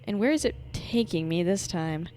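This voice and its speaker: A woman's voice: lowish voice, lively intonation, moderate pace, dark tone. A young woman giving casual, slangy, jokey commentary as she reacts to a video game. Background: game music.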